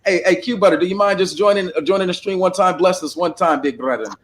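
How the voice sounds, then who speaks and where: A man's voice: high voice, fast talker. A man hosting a casual livestream panel discussion, talking bluntly and with plenty of profanity over a desktop microphone. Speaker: panel host.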